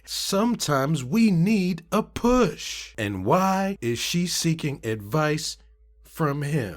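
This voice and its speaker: Male narrator, fairly high and very animated, theatrical, emphatic comment reading. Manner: emphatic, theatrical, shifting delivery.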